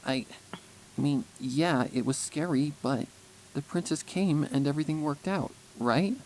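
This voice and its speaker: A man voicing a sullen, shaken young female pony in a storybook narration, speaking quietly and hesitantly, with guarded mutters. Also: fairly high pitch, measured pace, slight background noise, pitched above the performer's own voice, poor audio. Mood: flustered.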